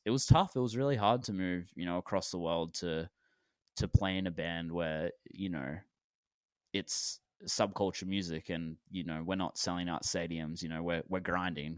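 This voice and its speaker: A man in his twenties from Australia, a musician guest on a podcast, talking in a relaxed, rambling way with lots of fillers and restarts. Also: sits low, no room sound, clean studio sound. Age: 20s. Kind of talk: casual podcast interview.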